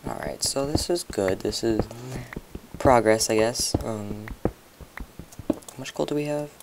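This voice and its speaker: A young man with a hoarse voice, chatting and rambling to viewers as he comments on his own gameplay. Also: mid-range voice, unhurried, background noise. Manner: chatty, rambling, talks to viewers while playing.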